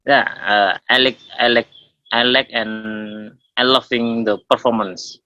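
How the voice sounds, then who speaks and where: A man with an Indonesian accent introducing himself as a dancer in halting, simple English on a video call. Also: medium pitch, steady tone, bright, forceful tone, dry recording, lo-fi sound. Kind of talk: casual self-introduction on a group call.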